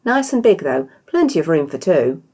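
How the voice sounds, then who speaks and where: A British woman voicing a cheerful, scheming female innkeeper in a storybook, bright and polite with feigned innocence. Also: low voice, sing-song, normal speed, husky, heavily acted. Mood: bright, guileless.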